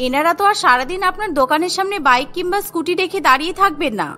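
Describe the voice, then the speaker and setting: A woman's voice: extremely high pitch, lively intonation, rapid-fire, pushed and bright, faint music behind, full character voice. A woman voicing an honest, hardworking young wife who runs a snack cart in a cartoon, speaking politely but firmly. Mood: polite pleading. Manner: polite but firm, pleading.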